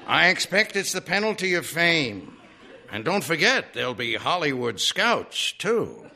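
Man doing a talking storybook horse, folksy, blunt, stubborn, bright-edged delivery. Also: a little high, big pitch swings, measured pace, a little hiss or hum, pitched above the performer's own voice, full character voice. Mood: self-satisfied. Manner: folksy, ungrammatical, polite but blunt. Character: talking horse, nine years old, plainspoken, stubborn, unrefined.

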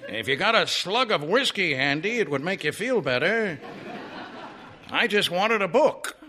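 A man's voice: sits high, lively intonation, measured pace, sharp tone, some chatter behind, pitched above the performer's own voice, full character voice. A man voicing a nine-year-old talking horse in a story, folksy and ungrammatical, polite but blunt. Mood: apologetic. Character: talking horse, nine years old, plainspoken, stubborn, unrefined.

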